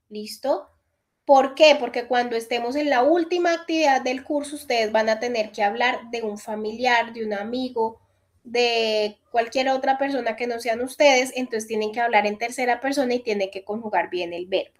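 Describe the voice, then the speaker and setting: A woman in her thirties with a high voice, teaching an online English class over a video call. She walks through each point step by step and keeps checking that her students follow. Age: 30s. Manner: explains step by step, checks in with repeated "listo". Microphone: computer mic on a video call.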